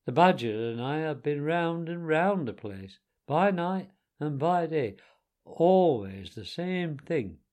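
Man voicing a grumbling, animated little mole. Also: fairly high pitch, takes it slowly, pitched above the performer's own voice. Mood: grumpy. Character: grumpy, hungry, put-upon working mole.

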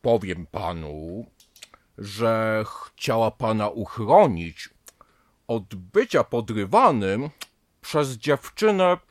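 A man playing a ponderous psychotherapist in a radio comedy, musing aloud with drawn-out, dryly sardonic asides. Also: lowish voice, lively intonation, dry recording, clean studio sound. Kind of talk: radio comedy drama acting.

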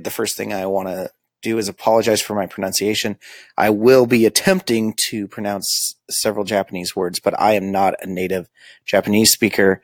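A man giving an informal lecture on manga, halting often with ums and uhs. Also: lowish voice, dry recording. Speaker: school librarian teaching manga basics.

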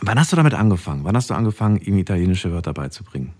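A man hosting a phone-in radio show, his fairly low voice moving up and down with lots of life. His tone is a little husky, and he keeps the chat going with follow-up questions. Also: very animated, racing, warm tone. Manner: chatty, asks follow-up questions. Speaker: radio host.

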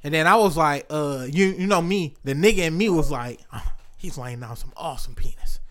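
A man's voice: on the high side, fairly quick, a bit bright. A man hosting a casual podcast, joking and teasing his co-hosts and laughing often. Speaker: podcast host.

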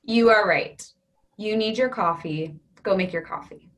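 A woman reading the part of a calm, literal-minded character who keeps pressing her point, in a staged play reading. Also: mid-range voice, unhurried, lightly acted. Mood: arguing calmly. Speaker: reader in a readers theatre play.

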